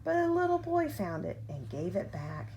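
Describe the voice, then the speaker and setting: A woman reading a children's picture book aloud like a parent at bedtime, with expressive, deliberate phrasing and drawn-out key words. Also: mid-range voice, highs cut off. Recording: home recording with faint background noise.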